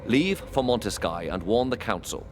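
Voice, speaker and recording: Guy voicing a grave fantasy game commander, low voice, solemn orders, music behind. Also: lively intonation, moderate pace, natural voice. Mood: grave, resolute. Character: commanding male war leader, grave and resolute. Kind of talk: dramatic fantasy game cutscene acting.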